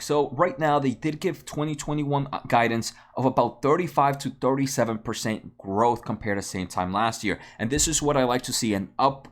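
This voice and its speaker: A man giving casual explanatory commentary as a video host, often repeating words and checking in with "right?" at the end of phrases. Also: mid-range voice. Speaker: host of a stock analysis video channel.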